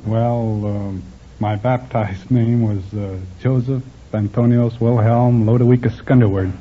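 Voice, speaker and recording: A man's voice: medium pitch, fairly even intonation, unhurried. A man of thirty from the Netherlands, a quiz contestant giving plain, modest, slightly hesitant answers. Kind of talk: casual interview answers. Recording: tinny old radio recording, faint noise.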